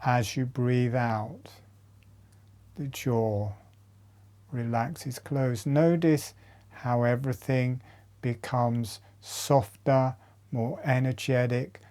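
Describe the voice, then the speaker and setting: A man leading a guided breathing meditation as an instructor, in short, measured phrases with long pauses. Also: mid-range voice, steady tone, dark tone.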